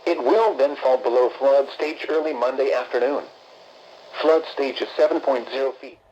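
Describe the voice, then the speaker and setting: Over a dull, noisy radio broadcast, a synthetic male voice of medium pitch reads official weather alerts. The delivery is impersonal and formal, moving through short, clipped phrases as an automated announcer would. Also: a little mellow. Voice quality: synthetic text-to-speech voice. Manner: impersonal, evenly measured, clipped list-like phrasing. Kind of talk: formal scripted emergency weather bulletin.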